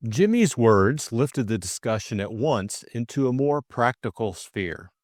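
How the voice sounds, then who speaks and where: A man reading expressive scripted narration as an audiobook narrator. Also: medium pitch, a little slow, no echo, clean studio sound.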